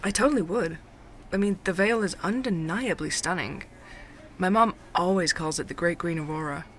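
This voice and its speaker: An actress playing a feisty, outspoken young barista in an audio drama, speaking in a casual, bantering way. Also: female voice, on the low side, normal speed, fairly bright tone, soft background music, lightly acted. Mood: casual, musing. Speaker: head barista being interviewed.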